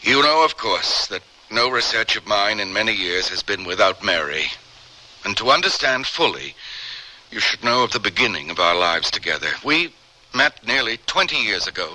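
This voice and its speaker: A middle-aged male scientist, weighed down by exhaustion and secrecy. His delivery is halting, grave and intense, with a very bright, forceful tone and strong rises and falls, in old-time radio drama style. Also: on the low side, low-quality recording.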